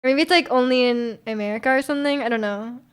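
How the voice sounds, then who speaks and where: Chatty young woman streamer, fairly high voice. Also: quick pace, clear, resonant tone. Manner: rambling, many fillers and restarts, frequent laughter. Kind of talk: casual chat reading viewer comments.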